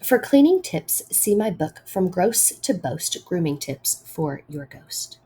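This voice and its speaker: Woman, children's storytime reader, mellow tone, unhurried pace, expressive read-aloud narration. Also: on the low side, slight background noise, rough recording.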